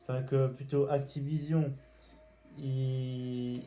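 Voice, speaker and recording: Guy doing casual game commentary, slow and halting, mellow muted tone, kinda hesitant. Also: medium pitch, flat delivery, faint music behind. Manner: hesitant, halting, searching for words. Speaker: gaming video host. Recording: roomy echo, faint background noise.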